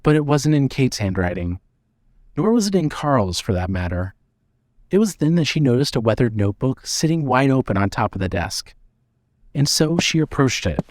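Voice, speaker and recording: A man reading scripted narration for an audio drama in a measured way. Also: mid-range voice, big pitch swings, a little mellow, no room sound, clean studio sound.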